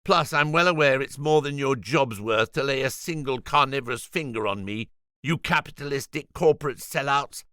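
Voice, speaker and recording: A man's voice: medium pitch, moderate pace, pushed and bright, clear, resonant tone, no room sound, clean studio sound, pitched above the performer's own voice, close to an everyday voice. A man playing a defiant, self-righteous male vegan shop owner with a sarcastic, preachy way of talking. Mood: indignant, preachy.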